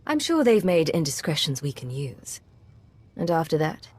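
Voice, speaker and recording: A woman's voice: low voice, very animated, normal speed, darkish tone, slightly rough, heavily acted. A woman playing a cool, guarded secret agent in a video game, with terse, controlled lines. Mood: businesslike. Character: cool, self-reliant female secret agent, loyal and guarded.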